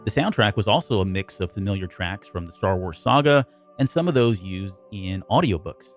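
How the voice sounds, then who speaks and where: A man hosting a podcast, narrating a scripted review with polished, measured phrasing. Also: lowish voice, lively intonation, soft background music.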